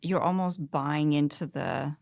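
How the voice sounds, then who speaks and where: A woman with a low voice and plenty of vocal fry chats on a podcast panel. She is recorded dry, and her delivery is conversational. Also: a little slow, darkish tone, no echo. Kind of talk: casual panel discussion.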